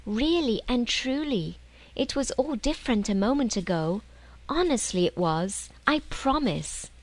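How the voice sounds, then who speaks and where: A woman voicing an earnest, excitable little girl in a storybook, breathless and insistent. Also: a little high, measured pace, voice pushed up, rough recording. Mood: earnest, hurt.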